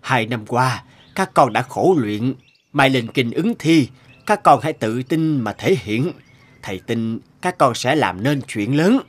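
A man playing a gentle, reserved music teacher of about forty in an animated folk tale, speaking in an earnest, measured, formal way. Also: mid-range voice. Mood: encouraging.

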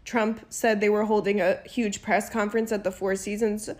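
A woman's voice: mid-range voice, flat delivery, moderate pace, darkish tone. A young woman chatting into a phone held at arm's length outdoors, talking through fits of laughter. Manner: laughing until near tears while talking. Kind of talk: casual chat.

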